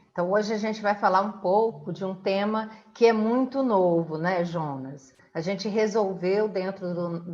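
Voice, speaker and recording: A middle-aged woman from the of Rio de hosts a conversational interview from home, often halting to search for words. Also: lowish voice, lo-fi sound. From Brazil. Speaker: interviewer and host. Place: indoors at home.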